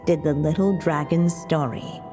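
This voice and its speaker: Slow, solemn, tender mother witch. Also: low voice, warm tone, in a large hall, faint music behind. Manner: slow, grave, measured, storybook narration. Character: solemn, tender mother witch narrating a fairy tale to her child. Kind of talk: scripted video game story narration.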